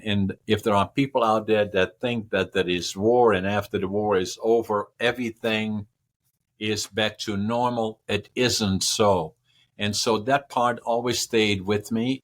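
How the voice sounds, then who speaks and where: A man, a podcast guest giving long, rambling answers that repeat words and run through lists. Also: lowish voice. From Northern Netherlands. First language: Dutch. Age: 80s. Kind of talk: casual interview answers.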